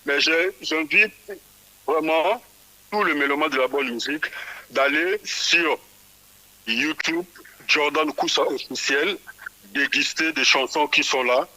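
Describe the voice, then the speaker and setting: A man from Kinshasa speaks with a very bright, forceful tone. He talks through a radio interview about his music, often halting and repeating short words before he finds the next phrase. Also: a little high, poor audio. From Kinshasa, DR Congo. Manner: stumbles and repeats small words while thinking. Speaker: musician guest.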